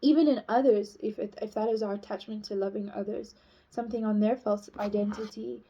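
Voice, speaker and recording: A woman's voice: mid-range voice, steady tone, a little fast, mellow, slightly rough, dull audio. Rambling woman giving a spiritual talk. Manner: rambling, many fillers and restarts. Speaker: spiritual teacher.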